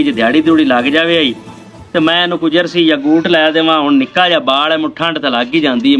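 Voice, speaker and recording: A man's voice: a little high, fairly bright tone, natural voice. A man with a rural Pakistani Punjabi accent acting a poor, proud, worried village father in a weary, rambling way. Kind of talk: amateur moral drama acting. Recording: dull lo-fi video with faint music.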